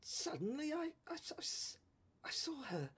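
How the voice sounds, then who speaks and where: A woman narrating a first-person audio drama in a halting, musing way, repeating words and breaking into exclamations. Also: sits high, sing-song, fairly bright tone.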